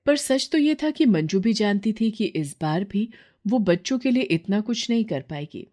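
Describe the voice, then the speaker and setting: A woman's voice: mid-range voice, animated, moderate pace, warm tone. A woman narrator reading a scripted moral story.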